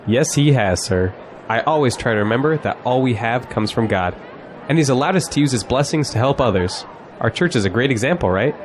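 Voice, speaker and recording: A man's voice: mid-range voice, expressive, fairly quick, background noise, natural voice. A man playing a cocky, exuberant young college graduate who is polite to elders, in an audio drama. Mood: sincere, respectful. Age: young adult.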